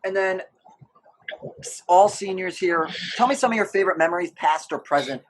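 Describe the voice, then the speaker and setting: A man's voice: sits high, moderate pace, rough recording. A man hosting a casual sports interview podcast, putting questions to guests in an encouraging, affirming way. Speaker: podcast host.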